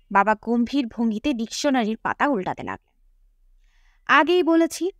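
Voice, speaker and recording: High-pitched woman narrating an audiobook. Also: no room sound, clean studio sound. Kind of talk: scripted novel narration in first person.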